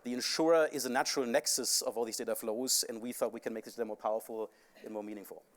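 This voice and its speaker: Brief: rapid male panelist, European accent, mid pitch, explanatory, filler-heavy conference talk. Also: fairly even intonation. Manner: fast, explanatory, with frequent fillers. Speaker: panelist, insurance company CEO. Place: conference stage.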